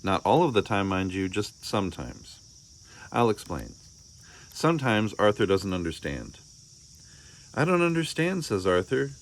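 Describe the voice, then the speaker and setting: A man reading a children's picture book aloud as a parent narrator, in a measured, expressive storytelling manner. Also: low voice, a little hiss or hum. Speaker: storybook reader.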